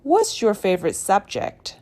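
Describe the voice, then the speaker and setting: A woman's voice: mid-range voice, huge pitch swings, a little slow, darkish tone. A woman asking short, plain practice questions in a beginner's speaking drill. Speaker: question prompter in a language drill. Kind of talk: scripted drill prompts.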